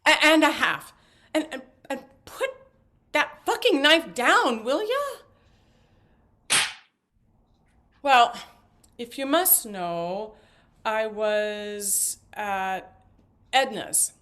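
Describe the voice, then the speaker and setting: High-voiced, talkative, flippant mother played by an actress. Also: sing-song, drawn-out words, long pauses, bright, forceful tone, heavily acted. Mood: flustered. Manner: rambling, joking, theatrical with stammered asides. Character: talkative, flippant older mother, eccentric and evasive. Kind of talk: stage play acting.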